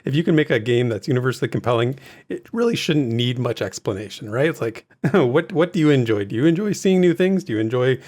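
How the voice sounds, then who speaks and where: A man with a medium-pitched voice co-hosts a podcast. He talks informally and conversationally, as in an easygoing discussion between hosts. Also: lively intonation, fast talker, few pauses, darkish tone. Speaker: podcast co-host. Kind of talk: casual podcast chat.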